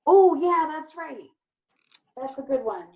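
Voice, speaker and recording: Higher-voiced woman teaching children, muffled call sound. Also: animated, slow pace. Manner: encouraging, affirming. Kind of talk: leading an online class discussion with children. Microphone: video call. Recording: dull, lo-fi video call sound.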